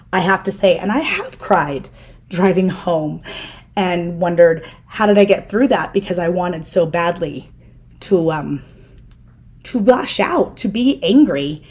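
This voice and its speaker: A middle-aged woman hosting a casual interview, talking in a loud, firm and direct way. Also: lowish voice. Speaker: podcast host.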